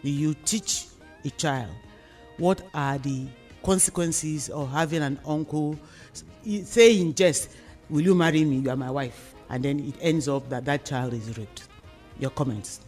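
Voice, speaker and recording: A Nigerian man with a slightly high voice speaks as a radio host. He frames questions on a serious social issue in deliberate, measured phrases. Music plays behind him. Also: a little mellow. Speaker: host of a radio discussion programme.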